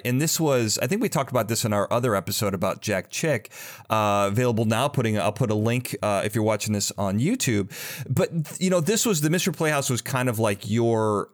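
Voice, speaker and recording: A man hosting a casual interview, talking in a halting, rambling way and often interrupting himself. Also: mid-range voice. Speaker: podcast host interviewer.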